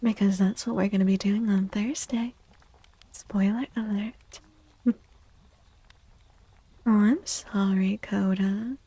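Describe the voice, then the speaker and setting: A woman speaking softly and breathily in a gentle, unhurried half-whisper, chatting as an ASMR livestream host. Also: medium pitch, fairly even intonation, dry recording. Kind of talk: soft-spoken ASMR livestream chat. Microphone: close microphone, dry.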